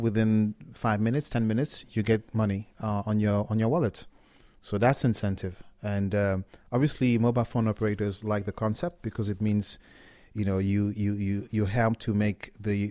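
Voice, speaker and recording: A middle-aged man from Cameroon, a startup co-founder talking casually as an interview guest, relaxed and often restarting his words. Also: lowish voice, no room sound.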